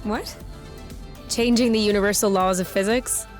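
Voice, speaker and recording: A woman playing a feisty, defiant and anxious young woman in a video game, with a blunt, sarcastic, expressive delivery. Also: mid-range voice, moderate pace, soft background music, lightly acted. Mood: exasperated. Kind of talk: video game cutscene acting.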